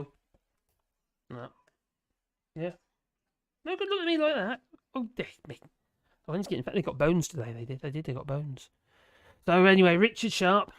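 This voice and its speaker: Hoarse streamer chatting live, meandering and self-deprecating, mid-pitched, dry close sound. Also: fairly bright tone, no echo. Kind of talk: casual live-stream chat.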